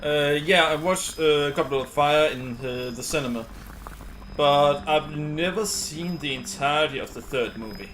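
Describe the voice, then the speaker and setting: Halting male game streamer, slightly high voice. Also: fairly bright tone, a little hiss or hum, rough recording. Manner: halting, thinking aloud. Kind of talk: casual game commentary. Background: game music.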